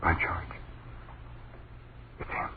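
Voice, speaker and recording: An actor playing an awestruck, frightened husband in an old radio horror drama, speaking in hushed, halting dramatic phrases. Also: male voice. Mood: tense wonder. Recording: tinny, hissy old radio recording.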